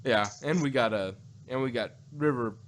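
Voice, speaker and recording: A man's voice: medium pitch, normal speed, slight background noise. A man with a middle-range voice talks casually as co-host of a review show. He has a jokey manner and slips into imagined quotes to make his points. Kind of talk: casual chat reviewing audio drama.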